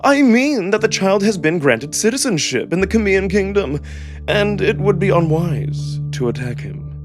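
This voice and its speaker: A man voicing a composed, courtly male jackal who enunciates slowly and politely with a smug edge in a visual novel drama. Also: high-pitched, over music, pitched above the performer's own voice. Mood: calm, smug. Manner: calm, polite, very slow enunciation.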